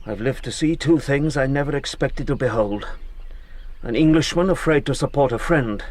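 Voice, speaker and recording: An actor playing a proud, honourable British colonel with a formal, stern military manner in a period radio drama. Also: male voice, mid-range voice, moderate pace, a little mellow, no echo, natural voice. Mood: grave, resigned. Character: proud British colonel, bitter but honourable fort commander.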